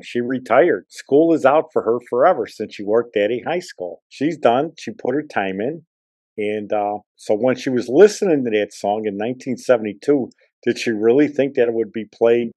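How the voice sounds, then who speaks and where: A man's voice: medium pitch, a little mellow. A man in his sixties hosting a podcast, chatting casually and reminiscing in a rambling, nostalgic way. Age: 60s. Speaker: podcast host.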